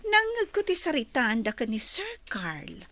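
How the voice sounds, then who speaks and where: A woman's voice: sits high, huge pitch swings, unhurried, sharp tone, full character voice. An actress playing a gossipy young female office worker in an Ilocano radio comedy-drama. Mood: curious.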